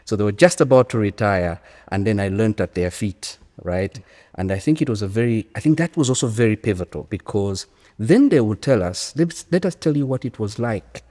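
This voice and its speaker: A man's voice: on the low side, animated, normal speed, warm tone. A man in his early forties with a Zambian accent, giving reflective, emphatic interview answers as an academic and often repeating phrases. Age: early 40s. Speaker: interview guest, economics academic. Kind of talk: conversational interview answer, storytelling. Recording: echoey hall with long reverb.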